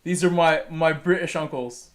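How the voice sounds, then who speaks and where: A man's voice: a little high, moderate pace. A man with a Connecticut accent streaming a racing game, chatting casually and laughing with his viewers. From Connecticut, USA. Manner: chatty, laughs easily, talks to chat mid-race. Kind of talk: casual live-stream chat while racing.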